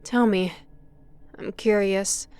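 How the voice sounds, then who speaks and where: A woman playing a menacing, mocking lighthouse keeper who taunts her captive in a slow thriller audio roleplay, slipping into breathy whispers. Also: mid-range voice, fairly even intonation, a little mellow, close to an everyday voice. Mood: coolly probing.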